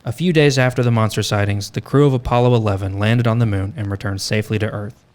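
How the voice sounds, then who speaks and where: A man's voice: lowish voice, fairly even intonation, fast talker, darkish tone. A man hosting a podcast, reading a scripted narration with wry, tongue-in-cheek asides. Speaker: podcast host.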